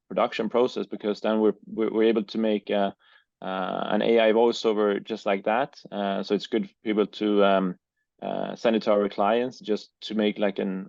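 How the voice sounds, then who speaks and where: A man answers interview questions in a low, gravelly voice. He hesitates often, filling gaps with uh and um and repeating words. The recording is dry and slightly muffled. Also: a little mellow, dry recording. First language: Swedish. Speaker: guest, business owner. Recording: dry, dull lo-fi sound.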